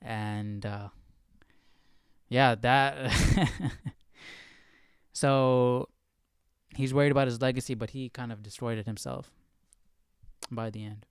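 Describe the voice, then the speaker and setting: A man's voice: mid-range voice, steady tone, slow, pauses often, clean tone, no room sound, clean studio sound. A man hosting a Bible study livestream, talking casually and off the cuff, searching for words and stretching phrases for emphasis. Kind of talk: casual unscripted commentary.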